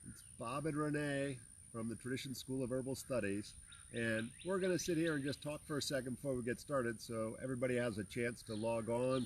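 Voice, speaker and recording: A man's voice: medium pitch, slight background noise. A man from the Bahamas, an herbal teacher, welcoming viewers in easygoing, folksy chat before an outdoor class. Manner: easygoing and folksy, with many fillers. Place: outdoors among plants, with birds and insects.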